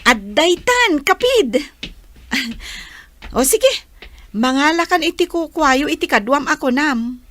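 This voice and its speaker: A woman's voice: sits high, big pitch swings, takes it slowly, pauses often, fairly bright tone, exaggerated voice. An actress playing a good-natured young woman who sells homemade rice cakes in an audio drama. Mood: obliging. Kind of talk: audio drama acting in casual dialogue.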